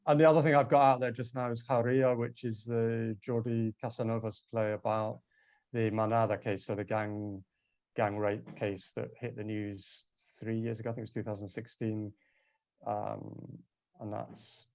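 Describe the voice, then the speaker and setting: A man speaking off the cuff as a panel guest, thoughtful and halting as he rephrases himself. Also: on the low side, darkish tone, no echo, lo-fi sound. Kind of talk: unscripted panel talk.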